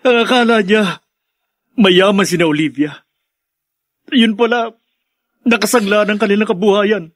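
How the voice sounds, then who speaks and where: An actor playing a bitter, resentful elder brother in a Filipino radio drama. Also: male voice, high-pitched, a little slow, a bit bright, no echo, clean studio sound. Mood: bitter resentment.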